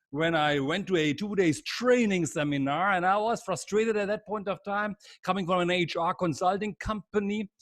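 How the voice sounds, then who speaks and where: A middle-aged man with a German accent, leading a live online training seminar in an energetic, repetitive, encouraging way over a video call. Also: high voice, no room sound, studio quality. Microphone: computer mic on a video call.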